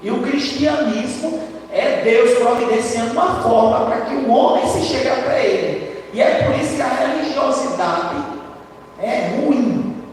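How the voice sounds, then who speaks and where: A high-voiced man with a hoarse throat preaches to a congregation, loud and chatty, checking in with the room as he goes. He sounds far from the mic, and his voice rings through a big reverberant church hall. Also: measured pace, a little hiss or hum. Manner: loud, conversational preaching with frequent tag questions. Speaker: lay preacher. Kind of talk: sermon. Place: church sanctuary. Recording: distant, echoey church hall.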